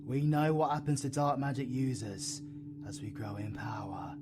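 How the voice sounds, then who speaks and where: A man with a British accent playing a brooding, foul-mouthed dark-magic supervillain in an audio roleplay, intense and full of slang. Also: mid-range voice, moderate pace, noisy background, natural voice. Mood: brooding, resolute. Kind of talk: dramatic audio roleplay acting.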